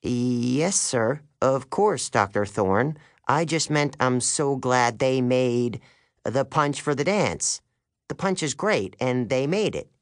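A man's voice: high voice, lively intonation, slow pace, hardly any pauses, dark tone, no room sound, clean studio sound, voice pushed up. A man voicing a nervous schoolboy in an audiobook, flustered and deferential.

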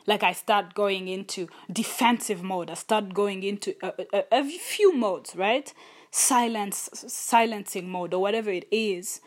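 A woman's voice: medium pitch, lively intonation, measured pace. A young adult woman hosting a solo podcast, talking in an earnest, thoughtful and openly personal way. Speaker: podcast host. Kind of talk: reflective solo podcast talk.

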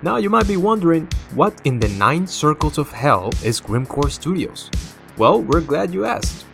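A man from Cuba with a Cuban accent, hosting a podcast in a scripted monologue with playful, theatrical flair. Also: mid-range voice. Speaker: podcast host.

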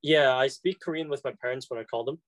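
A man's voice: mid-range voice, fast talker, dry recording. A young man, a college student, answering questions casually with many fillers and restarts. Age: young adult. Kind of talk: casual conversation.

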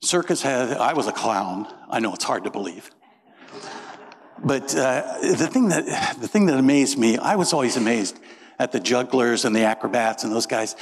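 A man's voice: medium pitch, normal speed. A middle-aged man from Indiana preaching a sermon as pastor, folksy and joking as he tells stories. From Indiana, USA. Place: church. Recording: reverberant church sanctuary.

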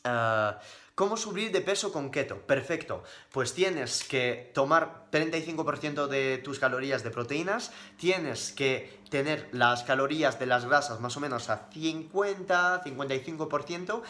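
A man's voice: a little high, animated, normal speed, bright, forceful tone. A man answering questions casually on a livestream as a fitness coach, speaking bluntly and emphatically, with slang and repeated words for stress.

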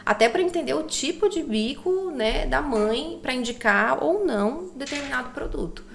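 A woman's voice: sits high, animated, normal speed, creaky, slight background noise. A woman guest on a podcast, chatting casually and explaining her consulting work, often tagging sentences with little checks to the listener. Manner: explanatory, chatty, frequent "né" and "tá" tags. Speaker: guest, co-founder of a baby layette consulting service.

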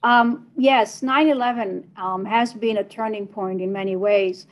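A woman who grew up in Tunisia, a philosophy department chair, answering interview questions in a measured, thoughtful way with frequent ums. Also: mid-range voice, steady tone, low-quality recording.

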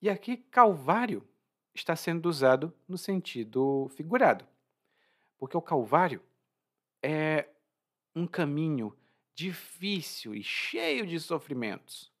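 Brazilian guy teaching portuguese words, super lively pitch, chatty and joking. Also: takes it slowly. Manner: lively, informal, chatty, laughs at own jokes. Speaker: language teacher. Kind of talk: explaining vocabulary from a story.

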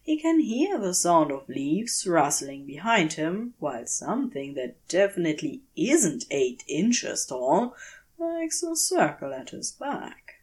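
A woman reading a story aloud as a scripted fiction narration, with measured, expressive storytelling. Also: lowish voice. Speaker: podfic narrator.

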